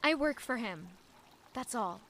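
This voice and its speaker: Woman playing a guarded young maid, high bright voice, short defensive answers, audio drama. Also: animated, unhurried, gravelly, slight background noise, full character voice. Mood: guarded, curt. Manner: curt, defensive replies.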